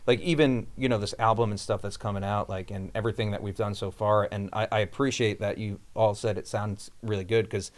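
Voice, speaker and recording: A man's voice: lowish voice, fairly even intonation, fairly quick, hardly any pauses. A man, a singer and guitarist guesting on a podcast, talking loosely with many fillers and restarts. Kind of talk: casual interview chat.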